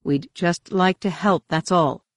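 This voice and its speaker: A woman voicing a calm, authoritative male leader of space knights in an audiobook. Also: on the low side, a little slow, dry recording, studio quality. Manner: smooth, authoritative.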